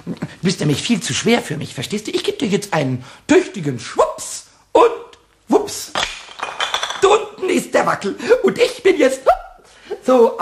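An actor playing a mischievous, childish little male kobold in a radio play, with a high squeaky, giggly voice that stammers when he gets excited. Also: vocal fry, full character voice. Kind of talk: 1960s radio play acting.

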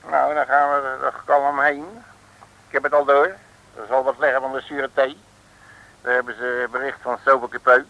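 A brash, boastful bumbling detective. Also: male voice, medium pitch, level tone, normal speed, gravelly, bright, forceful tone, highs cut off. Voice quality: brash, chatty, slangy. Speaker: detective Piet Loeris. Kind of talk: 1950s Dutch radio comedy acting.

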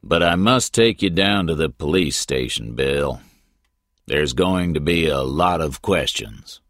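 A man voicing a big, shrewd, sad small-town policeman in an audiobook, speaking slowly and deliberately. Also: rumbling low, animated, a bit bright, no echo, clean studio sound, exaggerated voice. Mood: sad, firm. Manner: slow, deliberate. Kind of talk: audiobook character voice.

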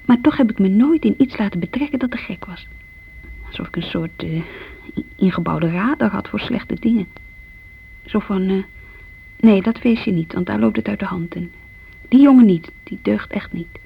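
An actress playing a lonely, guilt-ridden younger daughter in a Dutch radio drama, confessing hesitantly in a creaky, sometimes breathy voice. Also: female voice, medium pitch, moderate pace, lightly acted. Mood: defensive, hesitant. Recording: muffled, noisy old radio recording.

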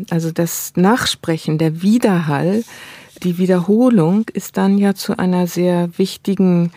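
Female scholar as interview guest, low soft-edged voice, reflective and explanatory, very dry close sound. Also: dry recording. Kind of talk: academic interview, explaining ideas.

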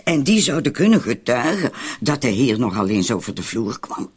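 A man playing an anxious, easily exasperated club madam with a husky voice in a radio drama. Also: medium pitch, moderate pace. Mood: fretful. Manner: fretful, excitable.

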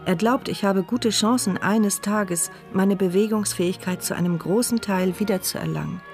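Observant young woman played by an actress, inner-monologue narrator for radio drama, mid-pitched, measured, articulate. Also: fairly even intonation, darkish tone, soft background music, lightly acted. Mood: reflective. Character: paralysed young woman, observant, inwardly narrating her story.

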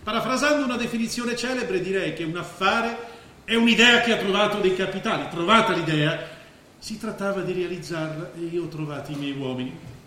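A male stage actor playing a grandiose, scheming businessman and former artist, declaiming with weighted pauses and cynical persuasion. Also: sits high, pushed and bright. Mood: grandiose, persuasive. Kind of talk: theatrical stage drama acting. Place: indoor stage of a theatre. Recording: very echoey theatre stage, faint noise.

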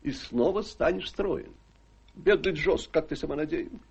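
Husky, sardonic gentleman father. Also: fairly high pitch, measured pace, highs cut off, exaggerated voice. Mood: mocking. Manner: mocking, droll, theatrical. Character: teasing, sardonic middle-aged father, gentleman of the house. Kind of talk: theatrical radio play acting.

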